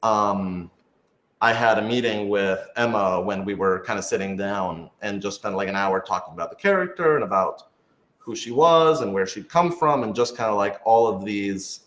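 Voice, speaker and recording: A low-pitched man talks in a roomy, echoing space. He speaks as a writer on a casual Q&A panel, rambling and working out his thoughts aloud as he goes. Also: lively intonation, normal speed, fairly bright tone. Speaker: writer and producer of an audio drama. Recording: roomy, echoey room sound.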